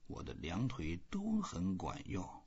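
A man voicing a stoic, reflective old fisherman in a storybook, husky and breathy, musing slowly to himself. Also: sits low, huge pitch swings, a little mellow, dull audio, exaggerated voice. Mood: quiet musing.

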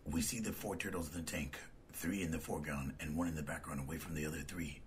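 A man's voice: rumbling low, fairly even intonation, fast, crowd noise. A man reading scripted narration that describes comic panels.

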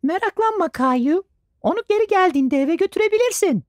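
A woman's voice: very high-pitched, lively intonation, a little fast, darkish tone, dry recording. A woman voicing a breezy, upbeat little schoolboy in a children's cartoon dub, chattering casually and eagerly. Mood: breezy, reassuring. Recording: studio dub with clear music behind.

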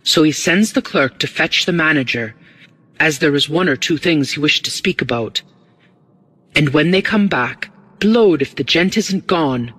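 A man's voice: on the high side, lively intonation, unhurried, fairly bright tone, low-quality recording. A man voicing a glib, eager porter in an audiobook, with a working-class London accent and a confiding, deferential manner.

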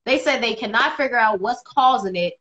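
A woman in her thirties co-hosting a casual live video chat from home, animated and quick to laugh, on a phone or laptop mic. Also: on the high side, muffled recording. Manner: animated, laughs often, bright delivery. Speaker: co-host. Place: indoors at home. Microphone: phone or laptop camera on video call.

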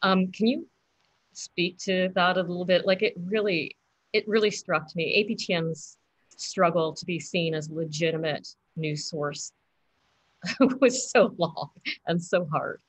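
A woman's voice: lowish voice, a little slow, dry recording, low-quality recording. A woman moderating a webinar panel over a video call, hesitant with many fillers as she brings in questions.

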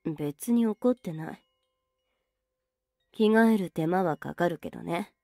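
A woman playing a young, teasing older sister in an anime ASMR roleplay, scolding yet soft and intimate, close to the microphone. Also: lowish voice, expressive, unhurried, gravelly, dry recording, heavily acted. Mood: teasing, fond. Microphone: very close, dry microphone.

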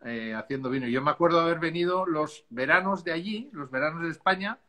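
Spanish-accented male winemaker, 50s, bright and a touch high, easygoing storyteller on a video call. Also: expressive, fast. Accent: Spanish, softened by years in Chile. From Spain. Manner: chatty, rambling, laughs easily. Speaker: winemaker guest. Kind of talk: casual video call interview. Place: dark room at home. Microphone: video call, laptop camera.

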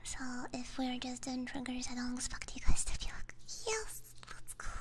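A woman whispering breathily and gently into a close binaural microphone, chatting casually with her stream viewers. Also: sits high, expressive, moderate pace. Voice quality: breathy, mostly unvoiced whisper. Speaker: ASMR live streamer. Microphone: close binaural ASMR microphone. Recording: faint background noise, soft clicks.

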